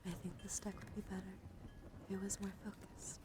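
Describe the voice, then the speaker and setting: A woman hosting an ASMR livestream, speaking softly to viewers and often slipping into whispers. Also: mid-range voice, monotone, a little fast. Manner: soft-spoken, often dropping into whispers. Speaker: livestream host. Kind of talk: ASMR, soft chat to livestream viewers with card reading. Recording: clearly audible ambient background noise.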